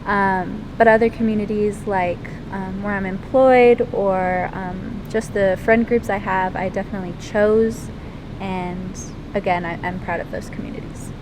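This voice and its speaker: Hesitant teenage girl over loud rumble. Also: steady tone, unhurried. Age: teens. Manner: hesitant, many ums and likes. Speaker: interviewee, lifeguard and swimmer. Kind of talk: casual interview answers. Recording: loud rumbling background noise.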